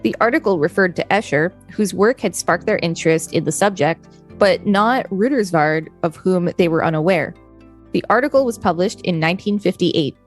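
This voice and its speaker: A woman's voice: fairly low pitch, moderate pace. Woman reading an article over faint music. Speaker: podcast co-host. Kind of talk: reading an encyclopedia article aloud with brief asides.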